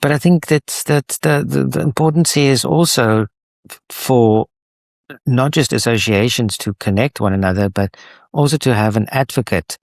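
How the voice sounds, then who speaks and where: A man with a South African accent, a native Afrikaans speaker, answering interview questions in a halting way with many restarts. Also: mid-range voice, vocal fry, dry recording. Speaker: guest, association co-founder.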